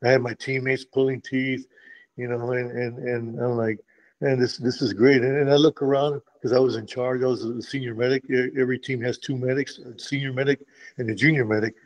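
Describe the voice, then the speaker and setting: A retired army medic from Texas, telling stories in a relaxed interview with many restarts and a habit of saying "man". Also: mid-range voice, brisk, rough recording. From Texas, USA. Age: older. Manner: frequent restarts and repeated words, says "man" often. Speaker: guest, retired Special Forces medic. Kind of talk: conversational interview storytelling.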